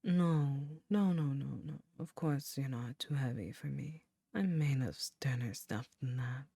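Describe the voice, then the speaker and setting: An ancient demon queen, as a woman puts her on, whispering very low and dark right up against a close mic. She speaks slowly and intimately, teasing yet motherly. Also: breathy edge, no echo, full character voice. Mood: soothing. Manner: teasing, then gently nurturing. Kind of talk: ASMR audio roleplay.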